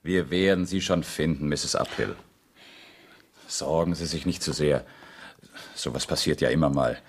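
An actor playing a seasoned, sardonic small-town constable with a teasing manner in a German radio play. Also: male voice, extremely low pitch, measured pace. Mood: calming. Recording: vehicle noise behind voice.

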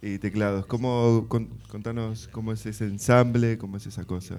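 A man's voice: lowish voice, fairly even intonation, moderate pace, a little mellow. A man interviewing conversationally on the radio.

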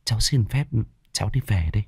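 A man's voice: on the low side, expressive, moderate pace, dark tone, breathy edge, dry recording. A guarded young woman in an audio horror story, read by a man. The delivery is reserved and courteous, recorded dry with no room around it. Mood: soft, consoling. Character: young woman, guarded, polite to elders, suspicious.

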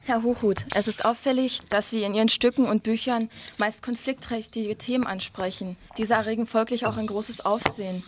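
Interviewerin mit eher hoher Stimme. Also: female voice, fairly high pitch, fairly even intonation, normal speed, few pauses, a little hiss or hum. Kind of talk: formal prepared interview question.

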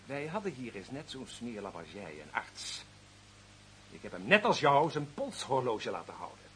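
An actor in a radio drama playing a vindictive, power-drunk official, theatrical and menacing, moving between hissed threats and bellowing. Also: male voice, mid-range voice, big pitch swings, normal speed, sharp tone. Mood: scornful, threatening.

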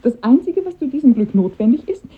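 An actress playing a lively, emotional young wife with a breathy voice in a 1950s German radio drama. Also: female voice, high-pitched, brisk, exaggerated voice. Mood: amused, embarrassed. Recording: thin, muffled old radio recording.

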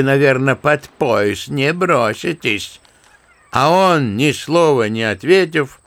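A gravelly old general telling tales. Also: male voice, medium pitch, dry recording, full character voice. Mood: scornful. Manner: lively raconteur, scornful asides. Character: old retired general, gruff storyteller. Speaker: actor. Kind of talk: audio drama acting.

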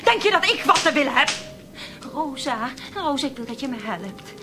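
A woman's voice: high voice, very animated, normal speed, music in the background. An actress in a radio play, playing a bitter, lonely woman who resents caring for her brother, with a brittle, intense manner. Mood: bitterly mocking. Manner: brittle and intense, mimics others mockingly.

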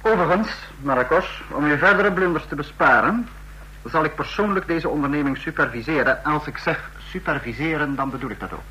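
A stern dictator in a radio play, forceful voice, speechifying very formally. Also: male voice, fairly high pitch, slight background noise, poor audio. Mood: cold, deliberate. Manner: formal, commanding, measured oratory. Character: stern male dictator of a fascist state.

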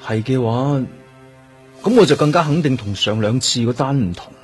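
A man with a Hong Kong Cantonese accent acting as a serious, methodical police detective in a radio drama, speaking in a measured, probing way. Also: medium pitch, expressive, faint music behind, natural voice.

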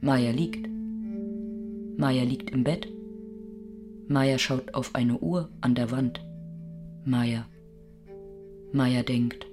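An actor playing a detached narrator in a radio drama, speaking in terse, clinical, emphatic statements. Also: male voice, mid-range voice, steady tone, very slow, pauses often, music in the background. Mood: detached, grave. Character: detached clinical male narrator reporting a man's decline.

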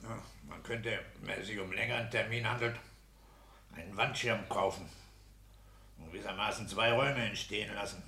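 An actor playing a pompous, self-satisfied petty-bourgeois husband and landlord in a declamatory 1960s German radio play style. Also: male voice, lowish voice, fairly even intonation, brisk, creaky, bright, forceful tone, husky, full character voice. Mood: self-important. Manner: pompous, declamatory, bullying joviality.

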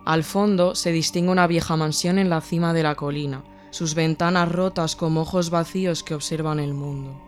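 Higher-pitched man narrating horror fiction. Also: steady tone, moderate pace, few pauses, soft background music. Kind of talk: scripted horror story narration.

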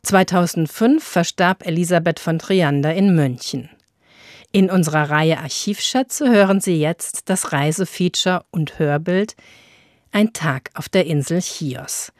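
A woman's voice: lowish voice, measured pace, dry recording, clean studio sound. A woman reading a scripted introduction as a radio presenter.

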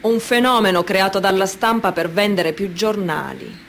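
An actress playing a proud, devoted mafia boss's wife in a measured, emphatic radio monologue. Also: female voice, medium pitch, a bit bright, a little hiss or hum, natural voice. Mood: defiant.

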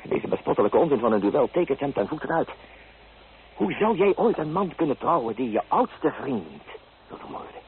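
A lively, mocking seducer, tinny recording. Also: male voice, medium pitch, normal speed. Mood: coaxing, scornful. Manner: mocking, smooth-talking. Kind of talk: radio play acting. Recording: old tinny, band-limited radio recording with hiss.

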